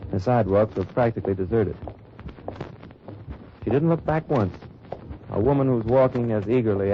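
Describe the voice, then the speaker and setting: An actor playing a tough wartime undercover agent, narrating in clipped, hard-boiled lines in an old radio drama. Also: male voice, fairly low pitch, close to an everyday voice. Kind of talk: 1950s radio drama acting. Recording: dull noisy old radio recording.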